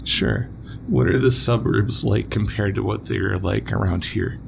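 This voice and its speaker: A man's voice: on the low side, measured pace, gravelly, slight background noise. A man from Wisconsin hosting a casual interview podcast, easygoing and chuckling as he asks follow-up questions. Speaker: podcast host.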